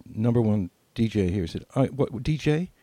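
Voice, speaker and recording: A man's voice: medium pitch, animated, moderate pace, warm tone, no echo. An older man telling anecdotes casually as a podcast co-host, acting out bits of dialogue as he reminisces.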